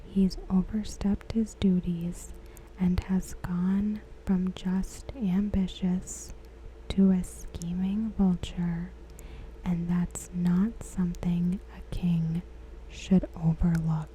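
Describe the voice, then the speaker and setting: A woman whispering softly and breathily in an ASMR style, giving commentary with gentle, deliberate phrasing. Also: on the low side, little pitch movement, slight background noise, low-quality recording. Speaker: ASMR creator.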